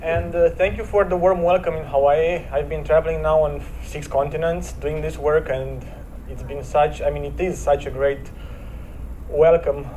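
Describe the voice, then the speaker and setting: A man with a Romanian accent speaks as a guest at a church service, his voice sitting a little high. He tells stories earnestly, slowing to lean on key phrases. A faint background hiss runs under his voice. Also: fairly even intonation, darkish tone, rough recording. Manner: earnest, measured storytelling with slowed emphatic phrases. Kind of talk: inspirational talk with storytelling.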